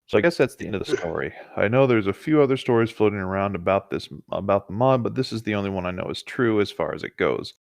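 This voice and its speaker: A man's voice: fairly low pitch, animated, quick pace, creaky, hardly any pauses, no room sound, clean studio sound. A man co-hosting a horror story show, reading aloud and chatting in an informal, conversational way. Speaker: co-host reading internet horror stories. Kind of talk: casual banter and story reading.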